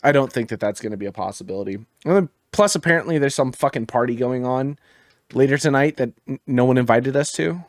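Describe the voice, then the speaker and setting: A man co-hosting a gaming podcast in casual chat, his voice a little raspy, swearing often and laughing easily. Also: mid-range voice, fast, no room sound, studio quality. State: slightly raspy from singing. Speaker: podcast co-host.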